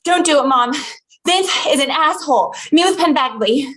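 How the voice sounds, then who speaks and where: A woman's voice: high-pitched, animated, normal speed, fairly bright tone. A woman reading a blunt, fiery, foul-mouthed daughter in a comedy script. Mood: angry. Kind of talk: comedy script table read.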